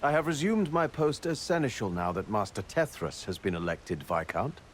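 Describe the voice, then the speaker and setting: A man's voice: mid-range voice, lively intonation, normal speed, a little hiss or hum, lightly acted. A man voicing a fussy, exasperated city official in a fantasy video game, formal, dry and pedantic. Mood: dry, stuffy. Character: stuffy, exasperated male city seneschal, fussy about rules.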